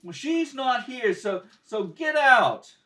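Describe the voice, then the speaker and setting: Belligerent little boy acted by a man, high, loud, defiant; radio drama, distant homemade recording. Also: very animated, slow pace, heavily acted. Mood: angry, defiant. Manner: belligerent, pushy, shouted protests. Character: angry, defiant young boy who hates cops. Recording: homemade, distant mic with background noise.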